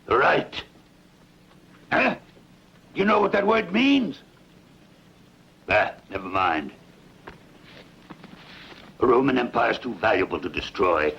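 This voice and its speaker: A man's voice: mid-range voice, very animated, slow pace, gravelly, long pauses, fairly bright tone, slightly rough, exaggerated voice. A man playing a ruthless, bombastic barbarian warlord and father, impatient and scheming, in a film dub. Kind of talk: dubbed sword-and-sandal film dialogue. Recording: muffled lo-fi dub with faint noise.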